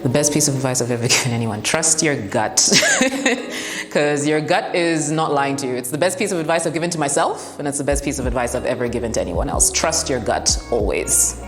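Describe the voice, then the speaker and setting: A woman's voice: rumbling low, animated, brisk. A young woman with a commanding voice answering interview questions bluntly and laughing often. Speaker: broadcast journalist and TV presenter. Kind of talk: interview answers, relaxed and frank. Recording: echoey room with long reverb, faint music.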